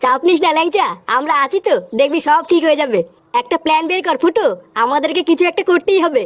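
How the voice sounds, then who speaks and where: Earnest cartoon buddy, really high pitched-up voice, talks quick, dry close sound. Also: fairly bright tone, dry recording. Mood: reassuring. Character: loyal, earnest cartoon village friend. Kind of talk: comedy cartoon dialogue.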